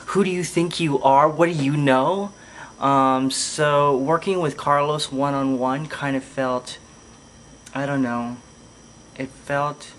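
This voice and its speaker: A man's voice: mid-range voice, a bit bright, slight background noise, rough recording. A man talking casually and reflectively in a podcast as a filmmaker, hesitating as he thinks aloud. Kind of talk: casual reflective vlog monologue.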